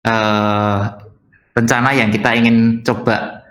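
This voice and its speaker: A man explaining things haltingly as the instructor of an informal online workshop. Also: mid-range voice, flat delivery. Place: indoor room on an online video call. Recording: roomy echo, music underneath. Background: soft guitar music.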